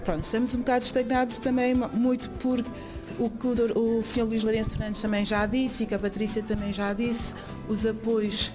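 A woman's voice: a little high, little pitch movement, fairly quick, music in the background. A young woman from Guinea-Bissau explaining things informally as an association volunteer, often repeating phrases for emphasis. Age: young adult. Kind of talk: interview, informal guided explanation.

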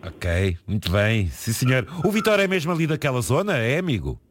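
A man's voice: medium pitch, wildly swooping pitch, fairly quick, slight background noise. A man hosting a casual radio chat with a European Portuguese accent. He talks in a jovial way with many fillers and friendly asides. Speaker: radio host.

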